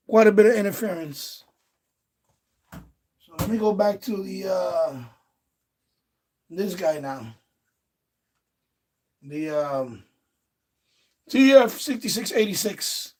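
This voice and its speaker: A man chatting casually and haltingly on a hobby live stream, muttering as he handles his gear. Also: high-pitched, a little mellow, breathy edge.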